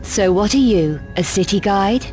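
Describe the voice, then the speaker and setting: A woman's voice: medium pitch, expressive, moderate pace, warm tone, faint music behind, lightly acted. A woman playing a tough, self-assured adventurer in a video game, with a terse, dry, unflappable delivery. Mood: cool, probing. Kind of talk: video game cutscene acting.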